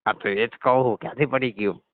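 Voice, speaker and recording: A man's voice: mid-range voice, fairly even intonation, a little fast, dry recording, lightly acted. A man playing a cheeky, evasive garage customer, nonchalantly needling and stalling in a scripted comedy phone call. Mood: disputing. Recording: dull lo-fi phone call recording.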